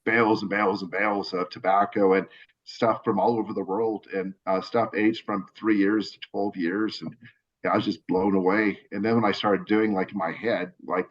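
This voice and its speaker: A man with a lowish voice tells his story in a loose, rambling way, full of restarts and fillers. He is on a video call, and the sound is dull and lo-fi. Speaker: cigar brand owner. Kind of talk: casual video call interview.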